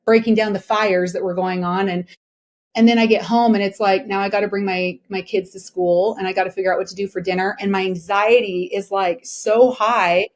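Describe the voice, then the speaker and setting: A woman's voice: medium pitch, steady tone, fairly quick. A woman in her forties talking candidly and emphatically as a podcast guest, often checking that listeners agree. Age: 40s. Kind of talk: candid personal conversation.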